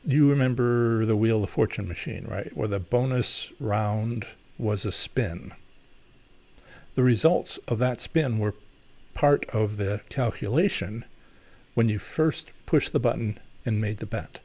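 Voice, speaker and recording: Man, lowish voice, live Q&A host, rambling, lots of uh and um, advising viewers. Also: a little slow, a little mellow. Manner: rambling, many fillers and restarts; explanatory, advising. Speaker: host answering viewer questions. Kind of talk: live Q&A chat.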